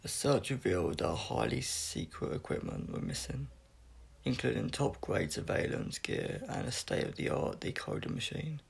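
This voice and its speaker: A man reading a science fiction story aloud as scripted narration, in a gravelly, husky voice with an unpolished, amateur delivery. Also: mid-range voice, very animated, moderate pace. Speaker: audiobook reader.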